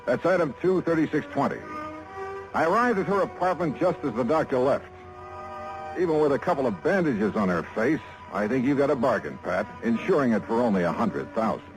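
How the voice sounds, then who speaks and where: An actor playing a wry, hardboiled insurance investigator in a 1950s radio drama, brisk and casual. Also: male voice, mid-range voice, lively intonation, faint music behind, close to an everyday voice, rough recording. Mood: brisk, dry narrating. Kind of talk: 1950s radio drama acting with first-person narration. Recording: vintage 1950s radio recording.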